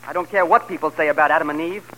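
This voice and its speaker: An actor playing a sheepish, lovesick husband who pleads hesitantly in a 1940s radio comedy. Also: male voice, on the high side, rapid-fire, a bit bright, soft background music, natural voice, rough recording. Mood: pleading, lovesick. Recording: vintage 1940s radio recording.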